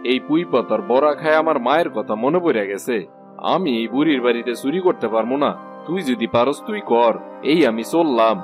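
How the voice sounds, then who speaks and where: A man playing a sentimental, soft-hearted village thief in a cartoon, with a rural Bangladeshi Bengali accent. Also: mid-range voice, lively intonation, brisk, natural voice. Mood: firm. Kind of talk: cartoon voice acting. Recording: music behind the voice.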